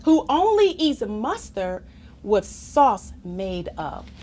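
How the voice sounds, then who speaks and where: A woman reading a children's story aloud in an expressive, playful, theatrical manner, as if to young listeners. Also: mid-range voice, takes it slowly, a little hiss or hum. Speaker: story reader.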